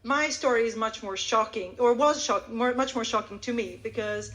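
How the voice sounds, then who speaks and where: A woman with a fairly high voice talks casually at a live event. She is chatty and animated, often breaking into her own sentences as she tells her story. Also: normal speed. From Croatia. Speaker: language teacher.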